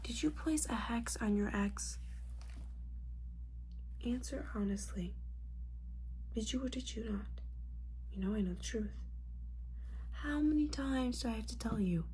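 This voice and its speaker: A woman playing an older witch mentor in a whispered ASMR roleplay, scolding and motherly, with wry, halting delivery and a breathy voice. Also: medium pitch, warm tone, slight background noise, close to an everyday voice, rough recording. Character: disappointed older witch mentor, bossy, judgmental yet caring.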